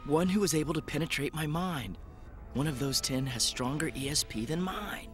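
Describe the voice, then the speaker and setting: A man's voice: fairly high pitch, normal speed, soft background music, lightly acted. A man playing an earnest, thoughtful young telepath cadet in an English anime dub. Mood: wary, puzzled. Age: young adult.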